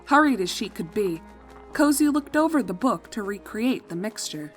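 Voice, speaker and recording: Woman narrator, mid-pitched, scripted storytelling, fan fiction reading, faint music underneath. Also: animated, moderate pace.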